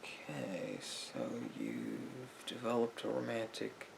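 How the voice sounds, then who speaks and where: A man playing a calm, patient therapist in a roleplay, gently questioning a client in a measured, hesitant way with long pauses. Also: lowish voice, gravelly, noisy background, full character voice. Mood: gently probing. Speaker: ASMR roleplay performer. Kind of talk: therapist roleplay ASMR, addressing the listener.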